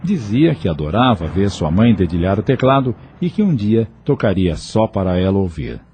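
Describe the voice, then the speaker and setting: A man's voice: lowish voice, sing-song, normal speed, dark tone, husky. A man with a Brazilian accent giving scripted narration for an audio novel.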